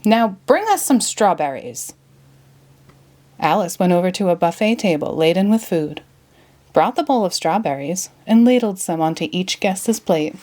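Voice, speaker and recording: A woman narrating a children's story as an expressive read-aloud. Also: lowish voice, moderate pace.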